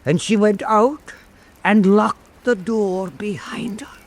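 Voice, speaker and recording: Slow, high-voiced Irish storyteller. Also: male voice, slight background noise. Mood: eerie, measured. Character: old island storyteller recounting an eerie fireside tale. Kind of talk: dramatic folk storytelling.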